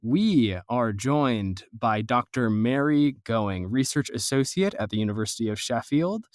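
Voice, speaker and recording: A man's voice: mid-range voice, animated, unhurried, dry recording, studio quality. A man hosting a podcast, introducing and interviewing in a conversational way. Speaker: podcast host and producer.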